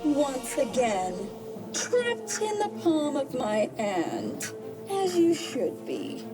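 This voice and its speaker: A woman's voice: sits high, huge pitch swings, drawn-out words, over loud music, exaggerated voice. An actress playing a villainous female genie in an audio drama, taunting and theatrical, playful but menacing. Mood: gloating.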